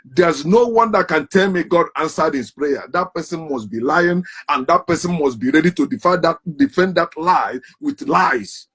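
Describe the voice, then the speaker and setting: A man's voice: a little high, expressive, moderate pace, fairly bright tone, husky. A man giving an impassioned, emphatic monologue on religion, hammering home rhetorical questions. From Africa. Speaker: commentator on religion.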